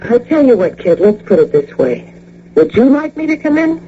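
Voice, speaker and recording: A woman's voice: fairly high pitch, big pitch swings, moderate pace. An actress playing a brash, chatty woman neighbor in a radio drama, with a slangy, breezy, wisecracking delivery. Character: brash, chatty, short plump New York woman neighbor. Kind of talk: old-time radio drama acting. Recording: dull, noisy radio drama recording with hum.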